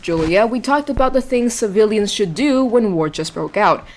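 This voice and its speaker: A woman's voice: medium pitch, animated, normal speed, a little mellow, slight background noise, natural voice. A woman playing a formal, inquisitive radio news anchor who shows concern, in an audio drama. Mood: formal, brisk. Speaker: news host. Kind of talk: acted news broadcast.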